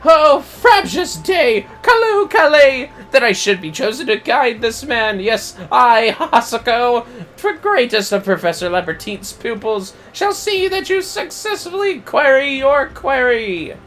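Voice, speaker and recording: High-pitched pompous excitable scholar. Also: male voice, unhurried, few pauses, heavily acted. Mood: ecstatic, grandiose. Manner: grandiose, florid, alliterative, theatrical exclamations. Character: pompous, excitable, indignant young male scholar. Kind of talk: theatrical reading of video game dialogue.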